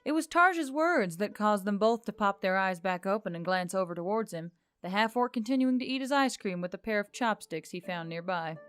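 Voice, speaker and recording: A woman's voice: mid-range voice, lively intonation, brisk, few pauses, fairly bright tone, smooth, no echo, clean studio sound. A woman reading scripted narration of a fantasy story. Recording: background music under the voice. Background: music.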